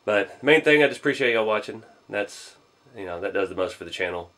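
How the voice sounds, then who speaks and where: A man with a low voice and a drawl talks through his hobby build in a home garage. He sounds a little hoarse from a cold. He rambles in a laid-back way, often trailing off with ums and shrugging uncertainty. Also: animated, fast, a bit bright. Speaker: RC hobby channel host. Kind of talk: casual build walkthrough.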